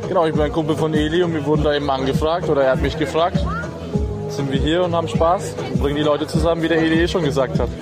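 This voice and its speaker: A man who helped organize the event, answering interview questions in a relaxed, colloquial way. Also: on the high side, quick pace. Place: outdoors at a city event. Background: loud music.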